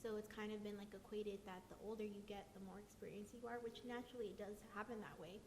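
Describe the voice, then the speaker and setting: A twenty-four-year-old woman, a university coordinator, explaining calmly in a panel discussion. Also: quick pace. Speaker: student organizations coordinator. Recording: echoey room, long reverb, rumble.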